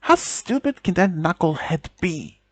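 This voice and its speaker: An exasperated young woman speaks with a raised pitch, as a man puts her on. She talks slowly, and the sound is dry and close. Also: sing-song, fairly bright tone, no room sound, full character voice. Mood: annoyed. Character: annoyed young woman, patient's sister.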